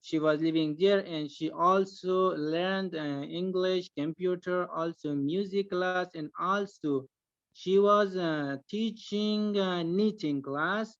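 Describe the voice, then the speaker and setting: Male panelist; slow, hesitant, clean dry voice on a dull call line. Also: sits high, dry recording. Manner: halting, frequent filler pauses. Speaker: panelist, former refugee teacher. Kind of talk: answering panel questions.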